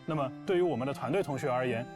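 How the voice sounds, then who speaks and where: A man's voice: medium pitch, racing. A man speaking as a game developer, giving a prepared presentation to players. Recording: music playing behind the voice. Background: plucked guitar music.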